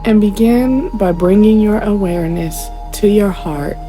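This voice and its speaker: A woman guiding a scripted meditation in a gentle, deliberate manner, pausing between short phrases. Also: medium pitch, soft background music, low-quality recording. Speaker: meditation guide, host. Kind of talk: guided meditation, scripted spiritual narration.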